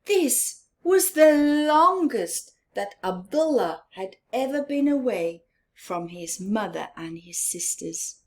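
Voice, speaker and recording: Slow-paced woman reading a children's story. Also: fairly high pitch, sing-song. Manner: dramatic emphasis, drawn-out words, hushed whispered asides. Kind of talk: expressive children's read-aloud with chatty asides.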